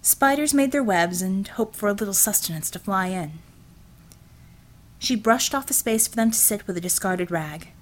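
A woman reading scripted fiction as an audiobook narrator, in an expressive storytelling style. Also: mid-range voice, moderate pace.

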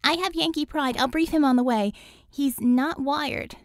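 A woman's voice: high-pitched, normal speed, no room sound, studio quality, voice pushed up. A woman voicing an outspoken, feisty young female superhero with a blunt, informal and emphatic way of talking in an audiobook. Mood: urgent.

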